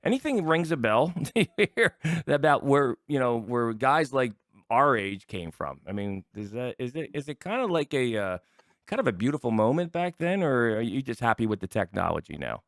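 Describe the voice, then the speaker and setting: A man's voice: mid-range voice, big pitch swings, moderate pace, no echo. Older American man, close dry sound. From Philadelphia, USA. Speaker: talk show host. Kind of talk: casual interview chat.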